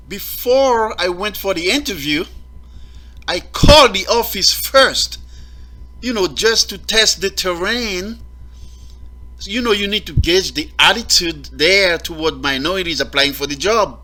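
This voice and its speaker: A man from Guatemala performing a stand-up comedy set with a slow, deadpan delivery on a live stage. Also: sits high, low-quality recording. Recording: loud audience laughter and noise.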